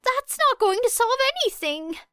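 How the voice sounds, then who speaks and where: Woman acting as teenage boy, audiobook character, anxious, pleading, very high, bright. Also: very animated, normal speed, no echo, clean studio sound, full character voice. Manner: stammering, indignant, pleading.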